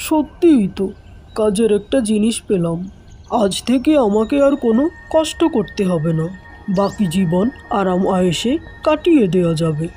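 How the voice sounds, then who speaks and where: A woman's voice: medium pitch, expressive, normal speed, warm tone, breathy edge, faint music behind. A woman voicing a poor, greedy young village man in a fairy-tale cartoon, exclaiming and talking to himself. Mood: amazed. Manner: self-talking, exclaiming, plaintive.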